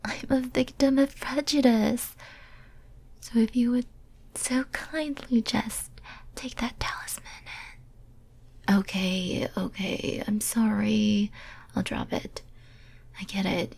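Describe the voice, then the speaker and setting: Casting: actress for lonely caged female demon, ASMR roleplay. Very breathy whisper, slow, tender and hesitant. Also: medium pitch, steady tone, a little mellow, heavily acted. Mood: pleading, defensive, then apologetic. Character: lonely, clingy, pleading female demon sealed in a cage.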